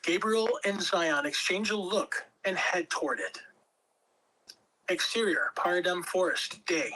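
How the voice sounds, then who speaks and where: A man's voice: high-pitched, a little slow, sharp tone, poor audio. A man reading stage directions as the narrator at a table read of a fantasy script.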